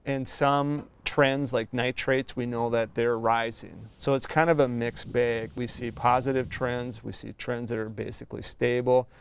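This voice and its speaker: A man, a university researcher, giving a steady, factual explanation in an interview. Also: mid-range voice, measured pace, creaky, hardly any pauses, slight background noise.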